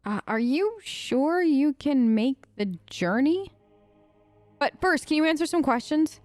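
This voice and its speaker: A woman's voice: sits high, animated, unhurried, darkish tone, no room sound, faint music behind. A young woman giving chatty, playful role-play commentary while playing a game, close on the mic. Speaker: let's play host.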